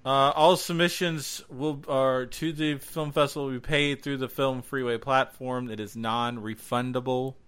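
Bright-voiced man co-hosting a casual podcast. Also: mid-range voice, steady tone, normal speed, few pauses, clear, resonant tone. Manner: jokey, playful asides. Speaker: podcast co-host. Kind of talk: casual announcements and banter.

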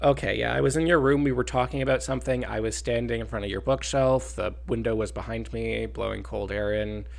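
A man's voice: medium pitch, fairly even intonation, fairly quick, gravelly, hardly any pauses. Congested, sniffly man in chat. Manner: chatty and jokey, laughs often. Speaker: podcast co-host. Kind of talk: casual chat.

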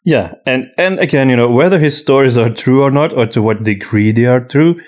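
Man, mid-pitched voice, podcast host, casual discussion, reflective, measured, pausing to think. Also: a little mellow.

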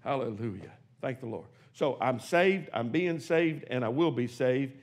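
A man in his sixties preaching a sermon in a church, swinging from hushed, quick asides to shouted exclamations. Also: mid-range voice, lively intonation. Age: 60s. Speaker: pastor. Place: church sanctuary.

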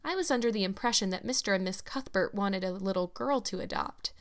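A woman's voice: mid-range voice, lightly acted. A woman reading aloud, voicing a bustling chatty middle-aged woman who fusses over her guests. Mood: explaining. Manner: fussy, quick to arrange everyone.

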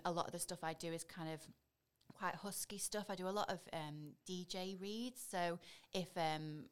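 A woman in her thirties with a deep, husky voice, chatting as a voiceover artist on a podcast, bubbly and animated. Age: 30s. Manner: bubbly and animated, laughs often. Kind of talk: casual podcast interview.

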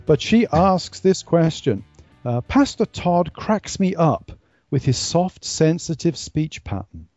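A man's voice: on the high side, big pitch swings, slow pace, darkish tone, no room sound. A man co-hosting a casual podcast chat, teasing his colleagues with dry humor. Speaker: podcast co-host. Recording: dry, dull lo-fi sound with faint music.

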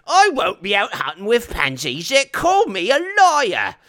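A man's voice: a little high, wildly swooping pitch, a little slow, bright, forceful tone, pitched above the performer's own voice, full character voice. A man voicing crude, boastful orc soldiers squabbling in ungrammatical rustic speech. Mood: indignant, mocking.

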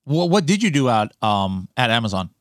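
A man's voice: medium pitch, sing-song, normal speed, dry recording, studio quality. A man hosting a casual interview, chatty and joking, often stammering and restarting his questions. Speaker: interviewer host.

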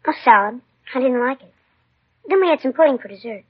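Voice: high-pitched, lively intonation, a little fast. A performer playing a polite, slightly wary schoolboy in a 1950s radio crime drama, giving short plain answers. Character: polite, guileless schoolboy son, a little wary. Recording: dull lo-fi 1950s radio recording.

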